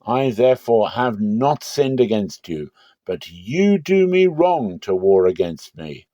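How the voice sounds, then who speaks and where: Proud ancient Israelite commander, played by a male reader, defiant, emphatic, mellow-toned, for dramatic scripture. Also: fairly low pitch, big pitch swings, slow, no echo. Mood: indignant, forceful. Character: defiant Israelite war leader, proud man.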